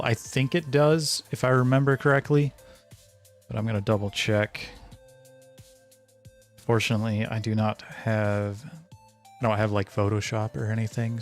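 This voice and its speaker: A man chatting casually on a live stream as he works, thinking aloud in a hesitant, self-directed way. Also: fairly low pitch. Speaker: streamer, indie game developer. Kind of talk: casual live stream chat while working. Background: background music.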